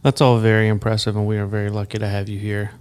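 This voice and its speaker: A man's voice: fairly low pitch, monotone, quick pace, darkish tone, clean tone. A man hosting a studio health podcast, asking a guest friendly, upbeat questions. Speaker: podcast host. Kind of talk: studio interview.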